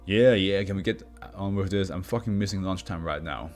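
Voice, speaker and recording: A man's voice: sits low, fairly quick, a little mellow, close to an everyday voice. A condemned male convict, acted out by a man reading a story, volatile and intense. He is agitated and coming apart, with faint music underneath. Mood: impatient. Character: foul-mouthed condemned male prisoner, volatile, unravelling.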